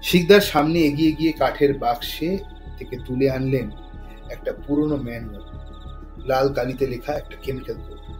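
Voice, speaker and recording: A man's voice: medium pitch, normal speed, darkish tone. A man reading dramatic scripted narration as the storyteller of an audio thriller. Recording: music under the voice.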